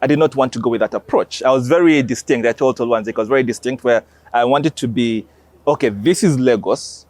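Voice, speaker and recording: A man's voice: mid-range voice, fast talker, darkish tone, dry recording. A man giving emphatic, explanatory interview answers as an animation creator.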